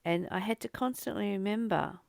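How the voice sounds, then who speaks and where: A woman giving a contemplative spiritual reading with informal personal commentary, pausing and repeating phrases as she goes. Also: medium pitch, creaky, darkish tone, dry recording, clean studio sound. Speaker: host of a spiritual reading and study series.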